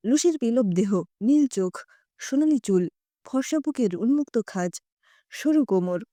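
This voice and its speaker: A woman storyteller reads a scripted tale expressively. Her voice is high and mellow, with very lively intonation. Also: normal speed, dry recording.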